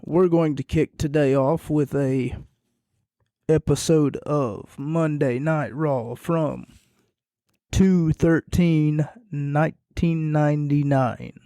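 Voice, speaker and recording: A man hosting a solo podcast, rambling and self-deprecating, his voice going hoarse and quiet. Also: a little high, steady tone, slow, no echo, studio quality. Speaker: podcast host. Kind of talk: casual solo podcast recap.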